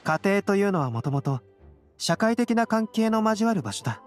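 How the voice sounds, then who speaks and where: A woman's voice: medium pitch, normal speed, soft background music, lightly acted. Woman as a serene, lilting young male intellectual. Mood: calm, curious. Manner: calm, reflective, polite. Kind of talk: Japanese anime game voice acting.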